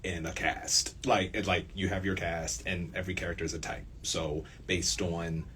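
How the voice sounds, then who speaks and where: Male interview guest, low-pitched, conversational and slangy, repeats for emphasis, slight background noise. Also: level tone, moderate pace, a bit bright. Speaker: radio play creator being interviewed. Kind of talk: casual interview chat. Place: student radio station.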